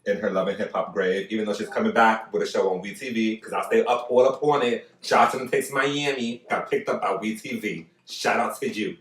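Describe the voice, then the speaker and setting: Man, podcast host, low voice, animated, gossipy, echoey room. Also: fairly quick, hardly any pauses, recorded from a distance. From Dominican family, learned Spanish first. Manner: animated, gossipy, cursing freely. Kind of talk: casual chat.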